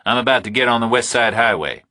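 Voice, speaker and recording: A man's voice: medium pitch, expressive, fast talker, bright, forceful tone, no room sound, voice pushed up. Man acting a terse, tense driver with raised voice. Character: tense male driver answering a kidnapper's questions.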